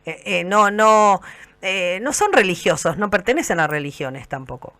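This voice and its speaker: Bright-voiced woman radio host. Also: mid-range voice, lively intonation, normal speed, no room sound. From southern Argentina, Andes border area. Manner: friendly, direct questions with fillers like este. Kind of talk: radio interview.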